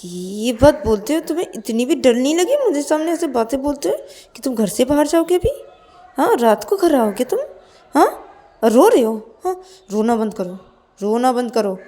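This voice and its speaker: महिला की आवाज़ में ऊँचे सुर में डाँटती सख़्त युवती. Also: female voice, high voice, big pitch swings, normal speed, pitched above the performer's own voice. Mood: angry, scolding. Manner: bossy, sharp rhetorical questioning. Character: stern, scolding, threatening young woman wanting sleep.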